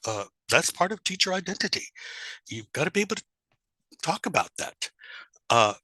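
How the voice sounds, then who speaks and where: A man giving an informal online lecture in a deliberate, explanatory way with rhetorical questions. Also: fairly high pitch, very animated, sharp tone, husky, dry recording, low-quality recording. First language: English, also Spanish and German. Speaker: teacher trainer presenting.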